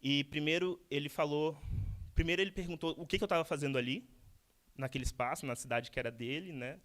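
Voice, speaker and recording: A man's voice: on the high side, fast, a bit bright. A Brazilian man in his thirties gives a talk, keeping it loose and conversational. He stops and restarts often, repeating words as he goes. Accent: Brazilian Portuguese. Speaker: guest speaker, architect. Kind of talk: lecture told as casual conversation.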